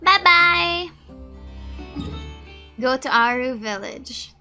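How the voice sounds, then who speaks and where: A woman with a slightly high, bright voice gives casual game commentary at an unhurried pace. Faint music plays behind her. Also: animated. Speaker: streamer playing and reacting to a game. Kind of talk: casual let's-play commentary.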